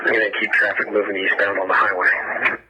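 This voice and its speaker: A man's voice: fairly low pitch, level tone, a little fast. A man giving an informal field report as a police officer over a two-way radio. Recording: very noisy scanner radio, clicks.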